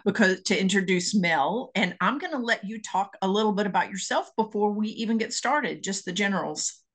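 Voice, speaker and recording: A woman's voice: medium pitch, brisk, a bit bright. Upbeat woman interview host. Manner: upbeat, encouraging, asks short questions. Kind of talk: casual interview chat.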